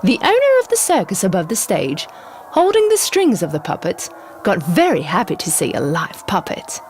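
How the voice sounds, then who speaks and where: A woman's voice: mid-range voice, wildly swooping pitch, moderate pace, background noise. A woman narrating a scripted fairy tale for children.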